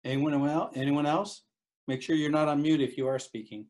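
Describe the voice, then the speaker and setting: A man's voice: a little high, fairly even intonation, moderate pace, a little mellow. A man facilitating a conference call and prompting participants to speak. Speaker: meeting facilitator. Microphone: remote conference call.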